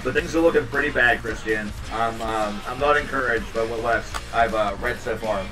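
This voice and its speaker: A man in his thirties hosting a home livestream, chatting casually with viewers in a rambling, low-key, tired way. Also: moderate pace, recorded from a distance, music in the background, poor audio. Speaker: livestream host reading chat. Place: small home room indoors. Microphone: headset.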